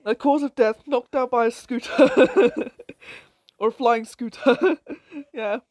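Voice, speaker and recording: A woman with a croaky voice chats her way through an online game, laughing at her own moves and shouting excitedly. Her voice sits a little high, and she talks at an unhurried pace. The sound is dry and close to the mic. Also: no room sound. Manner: giggly, excitable, frequent laughs and exclamations. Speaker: gameplay commentator. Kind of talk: casual gameplay commentary.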